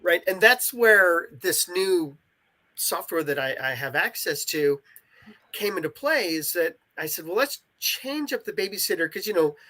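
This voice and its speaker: A man's voice: high voice, animated, a little slow, pushed and bright. A man speaking casually as an interview guest, explaining his creative work.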